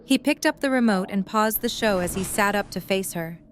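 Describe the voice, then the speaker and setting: A woman's voice: mid-range voice, moderate pace, slight background noise. A woman reading scripted narration as the narrator of an audio drama.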